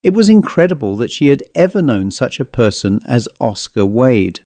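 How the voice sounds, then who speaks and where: Mellow, measured male story narrator. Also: medium pitch, sing-song, dry recording. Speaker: audiobook narrator. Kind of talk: scripted narration of a ghost story.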